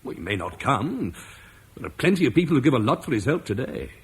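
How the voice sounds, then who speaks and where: An actor playing Marcus, a duty-bound Roman officer, in an old radio play, earnest and measured. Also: male voice, mid-range voice, very animated, breathy edge, exaggerated voice. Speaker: Roman commander leaving Britain. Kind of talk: 1950s BBC radio drama acting.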